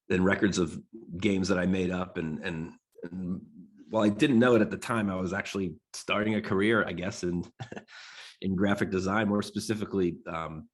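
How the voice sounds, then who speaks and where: Deep-voiced American man, nasal with a cold. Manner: loose and rambling, full of restarts and fillers. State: nasal from a cold, clearing his throat. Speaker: guest designer giving a talk. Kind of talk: casual talk to a design class.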